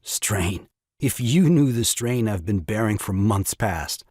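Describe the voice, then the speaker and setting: A man's voice: fairly low pitch, animated, slow pace, darkish tone, no room sound, studio quality. An actor playing a self-pitying, exasperated new father in an audio farce, with grandiloquent, melodramatic delivery. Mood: melodramatic woe. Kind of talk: theatrical audio drama farce acting.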